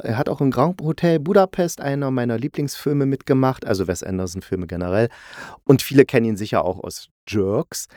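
A man's voice: on the low side, warm tone, dry recording, studio quality. A man hosting a podcast, giving a casual, chatty review in a playful, jokey way, often correcting himself mid-sentence. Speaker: podcast host and audio drama reviewer.

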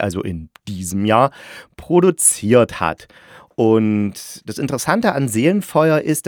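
A man's voice: lowish voice, no echo, clean studio sound. A man hosting a podcast in a casual, chatty style, often correcting himself as he reviews. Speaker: podcast host and radio drama reviewer.

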